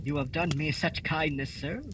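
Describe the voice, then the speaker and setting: Radio play guest role: male actor as meek courteous gentleman, raised pitch, slight rumble. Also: steady tone, moderate pace, natural voice. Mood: grateful, earnest. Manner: formal, courteous. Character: humble, grateful, courteous family man.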